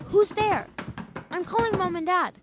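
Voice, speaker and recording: A woman's voice: extremely high pitch, expressive, measured pace, noisy background. An actress playing an earnest, curious young girl in a children's audio drama, eager and full of exclamations. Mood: alarmed.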